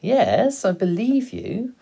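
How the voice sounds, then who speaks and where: Man playing an earnest, high-pitched young boy. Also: huge pitch swings, slow, vocal fry, dark tone, slightly rough, heavily acted. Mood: amused disbelief. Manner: gasping, protesting, exclamatory. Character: earnest, incredulous young son, easily shocked.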